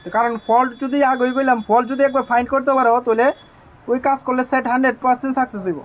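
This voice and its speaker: Male, Tripura Bengali accent, extremely high pitch, rapid delivery, informal repair technician demo, emphatic and repetitive. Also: level tone, a bit bright.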